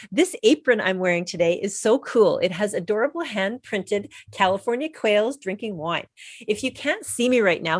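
A woman's voice: medium pitch, no room sound, studio quality. A woman hosting a podcast, asking questions and reading sponsor messages in an upbeat, enthusiastic way. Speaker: podcast host.

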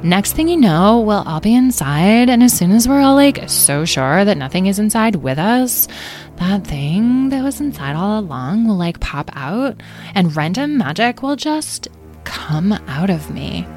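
A grand, testy magical creature from a storybook, acted out by a woman narrator. It speaks with theatrical self-importance and never sounds sorry, stretching words for effect over soft background music. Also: sits low, expressive, full character voice. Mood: exasperated. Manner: drawn-out, theatrical, haughty delivery.

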